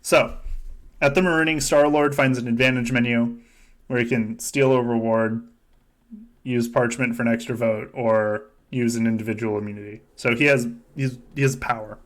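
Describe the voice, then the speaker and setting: A man with a medium-pitched voice hosts a casual game podcast. He talks in a joking, sweary way and laughs often as he chats with his friends. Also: steady tone, normal speed. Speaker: podcast host. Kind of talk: casual chat running a simulated reality-show game.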